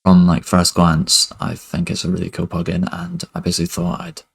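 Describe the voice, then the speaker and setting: A young man giving a relaxed music production tutorial at his desk, talking loosely with lots of fillers. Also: low voice, brisk, gravelly, husky. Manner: relaxed, many fillers like "kind of" and "basically". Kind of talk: casual screen-recorded tutorial.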